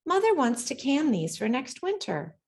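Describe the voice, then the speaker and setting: A woman's voice: high voice, sing-song, normal speed, darkish tone, pitched above the performer's own voice. Lady doing a busy mom in a kids book, emphatic, voice goes up and down a lot. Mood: brisk. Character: busy human mother of a small girl.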